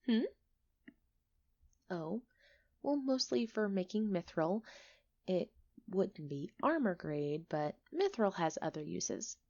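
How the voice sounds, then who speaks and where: Chatty village alchemist, played by a woman: friendly, expressive, easily flustered, medium pitch, ASMR roleplay delivery, slightly dull recording. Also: slow, long pauses, full character voice. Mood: explaining. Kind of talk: ASMR roleplay acting, one-sided conversation.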